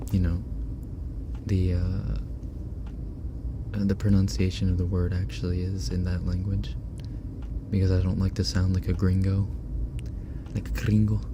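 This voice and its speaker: A man streaming ASMR, chatting casually and softly with viewers, often dropping into a whisper, on a binaural microphone. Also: low voice, noisy background. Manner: soft-spoken, often whispering, chatty and self-deprecating. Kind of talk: casual ASMR livestream chat reading donations.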